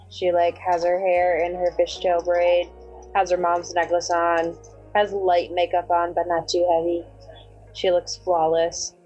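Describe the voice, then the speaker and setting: A woman's voice: on the low side, darkish tone. A woman playing in a tabletop roleplaying game, casually narrating her character in a sassy, playful way. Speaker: player in a tabletop roleplaying podcast. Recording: dull recording with music underneath. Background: music.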